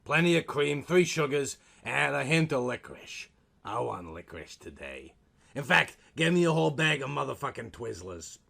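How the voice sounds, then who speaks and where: A crude little goblin of a boss, played by a man in a comic audio story. He is bossy and leering, with a bright, forceful edge and swooping pitch. His talk is folksy, old-fashioned slang full of exclamations. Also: very animated, normal speed, gravelly, full character voice.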